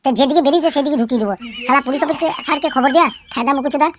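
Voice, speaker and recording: A man's voice: very high-pitched, very fast, crowd noise. A performer voicing a man, a foul-mouthed, fawning gangster henchman in a comic cartoon, rambling and boastful. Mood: vengeful, boastful. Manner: rambling, boastful, wheedling, swearing constantly. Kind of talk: comic cartoon dialogue in crude slang.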